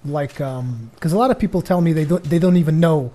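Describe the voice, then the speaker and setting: A young man from Portugal, a native Portuguese speaker, giving jokey rambling let's play commentary on a headset as his voice grows tired and hoarse. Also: a little high, fairly quick, warm tone. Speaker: gaming commentator.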